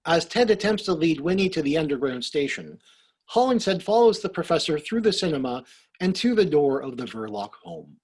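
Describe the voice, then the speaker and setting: A husky, animated host acted by a man narrates with arch wit. His voice swoops a lot, in the manner of old-time radio. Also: fairly high pitch, moderate pace, rough recording. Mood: storytelling. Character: wry, arch male radio play host.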